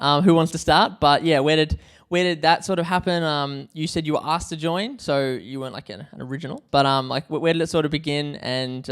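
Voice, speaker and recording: Clear-voiced Australian man hosting casually. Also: a little high. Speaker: podcast host. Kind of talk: casual remote interview.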